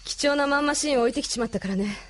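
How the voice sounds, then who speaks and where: A woman's voice: sits high, rapid-fire, lightly acted. A woman acting a tough, loyal young female pilot in a radio drama, plain-spoken and tomboyish, with music behind her. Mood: subdued, plain.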